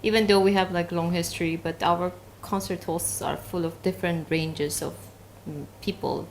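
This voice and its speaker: A young woman from China, hosting an interview and gently asking reflective questions while encouraging her guest. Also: fairly low pitch, fairly even intonation, normal speed, a little hiss or hum, poor audio. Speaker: host.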